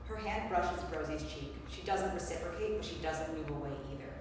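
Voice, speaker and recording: A woman's voice: on the low side, a little fast, close to an everyday voice. A woman giving scripted narration, reading out stage directions at a live play reading. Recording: echoey hall, distant mic, clear noise.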